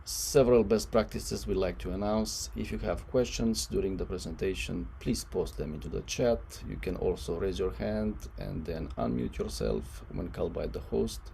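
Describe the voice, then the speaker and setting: A man hosting a webinar, giving a formal, somewhat halting introduction. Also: low-pitched, creaky, dark tone. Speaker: webinar host. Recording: noisy line with background ambience.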